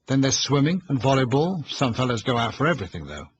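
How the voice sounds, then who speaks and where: Man putting on a loud boastful schoolmaster, hearty clipped slang, higher pitch, slightly muffled. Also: big pitch swings, fast talker, a little hiss or hum, natural voice. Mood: eager. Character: blustering pompous male schoolmaster, boastful.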